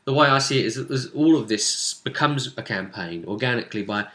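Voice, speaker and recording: A man with a forceful, bright voice talks through a tabletop role-playing session as its game master. His delivery is casual and a little hesitant, as he works things out aloud. His pitch sits in an ordinary male range. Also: a little fast.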